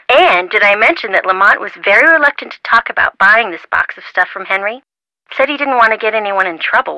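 An actress playing a perky, nervous young woman sidekick in a video game, with an American accent and a chatty, wry, expressive delivery. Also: medium pitch, no room sound, poor audio. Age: young adult. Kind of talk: scripted video game dialogue, phone-call chatter.

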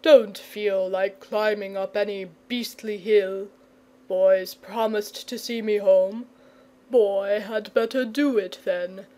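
A woman's voice: mid-range voice, mellow, close to an everyday voice. A woman voicing a sleepy, jovial, lazy big male dragon with a rambling drawl for a children's storybook. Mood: drowsy, contented. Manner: drowsy drawl, slurred and rambling.

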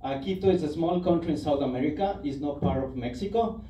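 A man in his thirties from Ecuador with a Spanish accent, doing an animated, self-mocking stand-up set on a club stage with a handheld mic. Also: fairly high pitch, fast. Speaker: stand-up comedian. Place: comedy club stage, indoors. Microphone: handheld mic, filmed from the audience. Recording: roomy club sound taken from far off, loud ambience.

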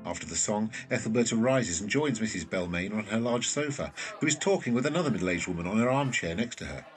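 A man narrates scene directions for an audio drama. His voice is fairly low, with animated, varied intonation. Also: fast talker, faint music behind. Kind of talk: scripted narration of screenplay directions.

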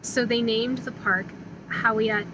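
Woman, 30s, bright-pitched travel vlogger explaining sights from a car, clear background noise. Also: fairly even intonation, takes it slowly. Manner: chatty, informative. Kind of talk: casual travel vlog chat. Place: inside a car.

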